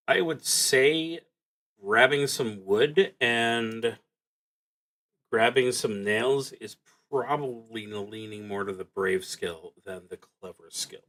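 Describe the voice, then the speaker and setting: A man streaming a solo tabletop roleplaying game, thinking aloud in a halting, musing way with pauses as he goes. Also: lowish voice, a bit bright.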